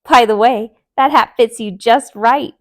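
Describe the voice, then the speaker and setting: A woman's voice: fairly high pitch, a little slow, natural voice. A woman voicing a cheeky male jackal joker in a children's storybook, teasing and wisecracking.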